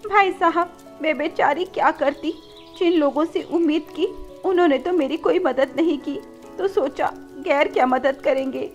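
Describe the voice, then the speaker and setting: A woman voicing an earnest, gentle mother bird who pleads for help in a cartoon. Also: high voice, normal speed, muffled recording, faint music behind, pitched above the performer's own voice, close to an everyday voice. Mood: downcast.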